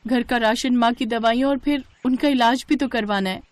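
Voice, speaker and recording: A woman's voice: on the high side, moderate pace, no room sound, natural voice. A woman voicing a poor, dutiful young food-cart seller in a moral story, earnest and respectful. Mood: worried pleading.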